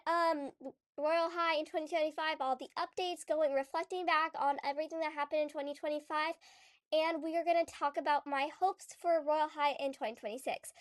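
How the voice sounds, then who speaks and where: A woman's voice: high-pitched, dry recording, studio quality. A young woman with a stuffy, congested voice from a cold, chatting casually about a game in a rambling vlog. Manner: chatty and rambling, many fillers and restarts. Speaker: gaming YouTuber.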